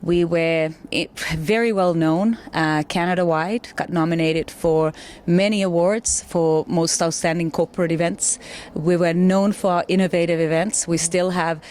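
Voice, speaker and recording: A woman in her forties from Finland, a native Finnish speaker, answering interview questions as a political candidate and often pausing to find her words. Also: lowish voice, steady tone, darkish tone, slight background noise. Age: 40s. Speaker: mayoral candidate being interviewed. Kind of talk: interview answers about personal and career background.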